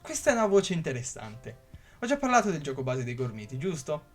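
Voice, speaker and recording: Man, slightly high voice, scripted explainer narration, occasional stumbles, faint music underneath. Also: very animated, brisk. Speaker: YouTube creator.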